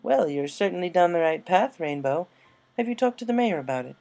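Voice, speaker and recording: A man voicing a refined, dramatic female fashion pony in a storybook reading, tactful but firm, with drawn-out genteel speech. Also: high-pitched, lively intonation, creaky, a little mellow, voice pushed up. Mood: delighted, encouraging. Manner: drawn-out, genteel, fond of saying darling.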